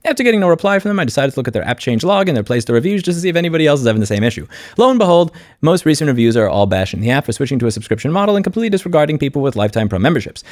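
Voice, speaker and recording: Fast-talking, sardonic man. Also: on the high side, big pitch swings, darkish tone, no echo, studio quality. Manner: blunt, sardonic, opinionated. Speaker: video host, consumer rights commentator. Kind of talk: informal commentary to camera.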